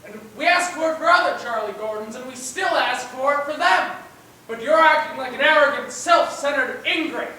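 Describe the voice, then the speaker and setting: A stern, defensive scientist speaks in a high, forceful voice, played by a young amateur on stage. The sound is distant and swimming in echo, with a faint rumble. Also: moderate pace. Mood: indignant. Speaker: student actor. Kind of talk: amateur stage play acting. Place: indoor theatre run-through without audience. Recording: echoey hall, distant mic, faint rumble.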